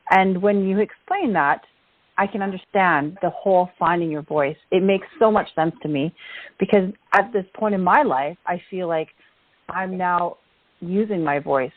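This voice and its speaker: A woman's voice: fairly low pitch, moderate pace, no room sound. A woman hosting a conversational interview, effusive and affirming, with emphatic phrasing. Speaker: podcast host, interviewer. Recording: dry but phone-like narrow sound.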